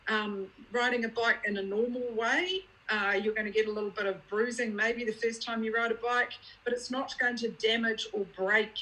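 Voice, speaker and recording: Bright-voiced New Zealand woman explaining. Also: a little high, fairly even intonation, moderate pace, poor audio. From Scotland. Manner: explanatory, plain-spoken, uses props and checks understanding. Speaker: guest speaker, child abuse unit clinician. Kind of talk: educational online talk.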